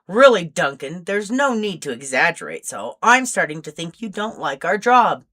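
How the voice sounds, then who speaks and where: A woman's voice: fairly low pitch, sing-song, normal speed, a bit bright. A woman voicing a stern, grumpy older male agent with stiff, formal speech in a story reading. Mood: scolding.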